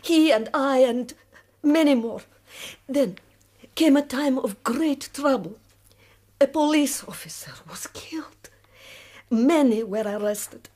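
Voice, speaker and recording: An actress playing a proud, weary middle-aged revolutionary woman in a radio drama, speaking slowly and deliberately. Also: sits high, darkish tone. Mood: bitter, grave. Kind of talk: BBC radio drama acting.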